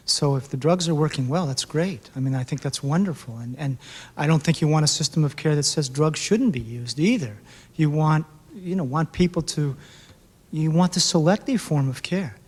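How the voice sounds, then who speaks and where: Man fielding questions at a talk, lots of you know and uh, kinda high voice, big echoey hall. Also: darkish tone, breathy edge. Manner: thinking aloud with many fillers. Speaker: speaker answering audience questions. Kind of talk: public talk Q&A. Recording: reverberant hall.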